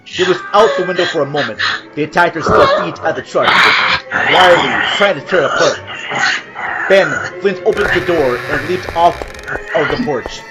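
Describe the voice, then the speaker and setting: A man's voice: fairly high pitch, lively intonation, moderate pace, rough recording. A man giving grave, theatrical scripted narration for a horror audio drama. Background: loud music and sound effects.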